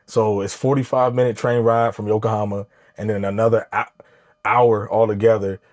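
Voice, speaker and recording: A man guesting on a podcast, relaxed and slangy, often backing up the host with quick yeps. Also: fairly low pitch. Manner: relaxed, slangy, frequent affirmations. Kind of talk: casual podcast conversation.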